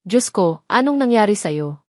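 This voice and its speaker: A woman's voice: medium pitch, expressive, normal speed, dry recording, clean studio sound, natural voice. A woman voicing a friendly, sympathetic young woman in an audio story. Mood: alarmed, scolding.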